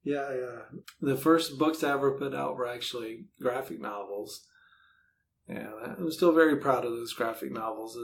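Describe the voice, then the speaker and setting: A man's voice: moderate pace, creaky. A middle-aged man giving casual, rambling, self-deprecating answers as a podcast guest. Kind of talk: casual conversational interview answers. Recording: faint low rumbling background noise.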